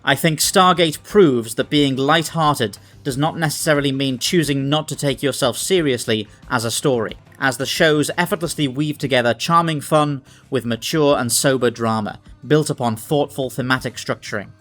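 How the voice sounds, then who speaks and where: Man, medium pitch, scripted essay narration, analytical, articulate, background music. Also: moderate pace, hardly any pauses, a bit bright. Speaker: video essay host, writer and analyst.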